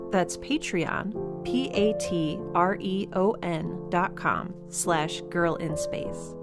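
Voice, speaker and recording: A woman hosting a podcast, reading scripted credits in a casual, friendly manner. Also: on the low side. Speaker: podcast host.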